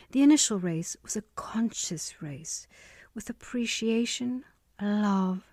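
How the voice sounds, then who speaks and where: A woman reading a spiritual text aloud in a soft, often half-whispered delivery. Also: mid-range voice, no echo, studio quality. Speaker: reader.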